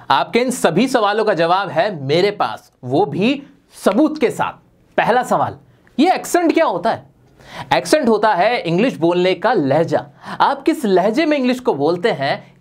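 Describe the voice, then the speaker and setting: A man teaching spoken English in an energetic online lesson, emphatic and full of rhetorical questions aimed straight at viewers. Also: sits high.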